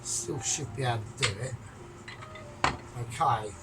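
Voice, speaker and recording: A man's voice: mid-range voice, unhurried, heavy background noise. A man chatting his way through a cooking tutorial, explaining each step with joking asides. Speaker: home cook. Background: music.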